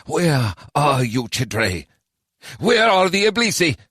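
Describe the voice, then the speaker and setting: A man voicing a stern, high-born male elf war leader who barks terse commands. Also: big pitch swings, slow, fairly bright tone, breathy edge, dry recording, studio quality, heavily acted. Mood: brooding.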